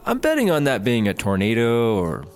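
A man's voice: mid-range voice, wildly swooping pitch, normal speed, slight background noise. A man playing a hapless, sheepish startup worker and bumbling sidekick in a comedy audio drama. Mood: earnest.

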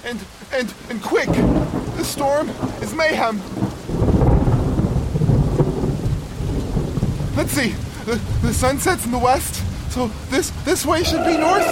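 A man playing a proud, bossy castaway in an audio drama, speaking haltingly in a haughty, grudging way to his companions. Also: extremely high pitch, very noisy. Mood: agitated. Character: proud stranded male castaway, bossy, grudging, talking to creatures. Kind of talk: dramatic audio fiction monologue, survival log.

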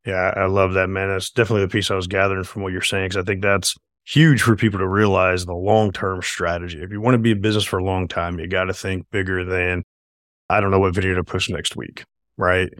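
A man's voice: low voice, little pitch movement, fast, fairly bright tone, no echo, clean studio sound. A man hosting his podcast, talking enthusiastically and rambling, often tagging sentences with "right?". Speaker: podcast host. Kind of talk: casual podcast interview.

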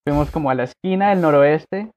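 A man from Colombia, raised abroad, telling his life story as a podcast guest in a relaxed way with many fillers. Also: a little high, brisk. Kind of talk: conversational interview.

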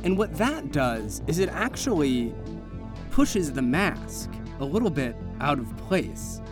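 A man's voice: fairly high pitch, sing-song, slow pace. Male game master with music behind him. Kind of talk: improvised tabletop game narration.

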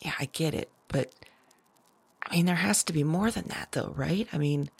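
A woman acting a searching, anxious fugitive visionary who talks to spirits, halting and correcting herself in an audio drama. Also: low voice, gravelly, slightly rough, heavily acted. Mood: hesitant, hopeful. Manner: halting, self-correcting, repeats words. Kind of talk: naturalistic audio drama monologue.

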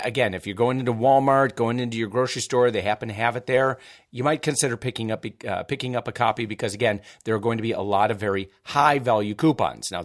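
A male talk show host with a cold, giving upbeat, energetic tips on deals and savings. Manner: upbeat, energetic pitchman delivery. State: has a cold. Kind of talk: radio-style talk show.

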